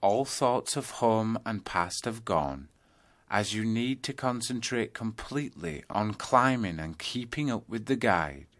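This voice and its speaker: A man narrating a scripted guided yoga nidra meditation, telling a journey in measured second-person storytelling. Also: lowish voice, animated, a bit bright.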